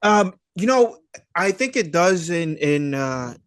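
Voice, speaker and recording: Enthusiastic slangy man, fairly high dry voice. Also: very animated, slow pace, a bit bright, no room sound. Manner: enthusiastic, slangy, swearing, hesitant phrasing full of 'like' and 'dude'. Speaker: podcast guest, independent filmmaker. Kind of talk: casual chat.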